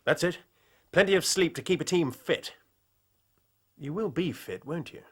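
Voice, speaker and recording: An actor playing a worried, loyal young English university rugby captain in an audio drama, with hearty, polite public-school speech. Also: male voice, fairly high pitch, expressive, moderate pace, slightly rough, no echo, clean studio sound. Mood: concerned.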